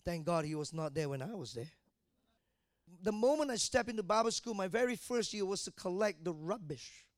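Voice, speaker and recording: A man's voice: sits high, lively intonation, normal speed, no echo, studio quality. A man in his fifties from Malaysia preaching a sermon, often asking the congregation questions. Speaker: pastor.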